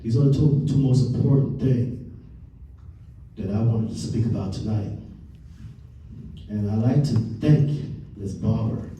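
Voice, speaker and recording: A man as guest speaker, wrapping up his talk at a community meeting with a relaxed, light joke. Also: lowish voice, a little hiss or hum. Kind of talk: closing remarks of a talk. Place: meeting room. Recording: echoey room, distant mic.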